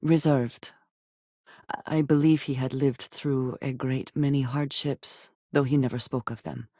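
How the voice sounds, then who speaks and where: An actress playing a guarded, devout and loyal woman spacefarer in a video game, with measured, formal, precise phrasing. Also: extremely low pitch, steady tone, mellow, no room sound, studio quality, heavily acted. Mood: reflective. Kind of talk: scripted game character dialogue.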